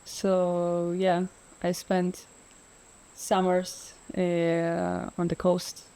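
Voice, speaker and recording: Interview guest: woman in her thirties, unhurried, mellow tone, lots of fillers and easy laughter. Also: fairly low pitch, monotone, creaky, clear, resonant tone, rough recording. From Ukraine. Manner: soft-spoken, hesitant with many fillers, laughs often. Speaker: freediver guest. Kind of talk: relaxed interview conversation.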